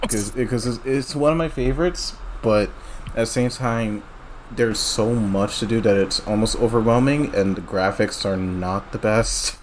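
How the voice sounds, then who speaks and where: A man of twenty-four, the producer on a casual podcast, talking in a rambling way with halting restarts. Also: on the low side, slight background noise. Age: 20s. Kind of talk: casual chat.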